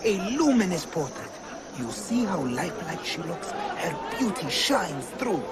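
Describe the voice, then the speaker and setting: Game bit part: showy bazaar seller, swooping pitch, very noisy crowd around him. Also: male voice, sing-song, unhurried. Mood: showy, coaxing. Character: flowery street merchant hawking his wares. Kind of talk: video game cutscene acting. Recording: loud market crowd and noise.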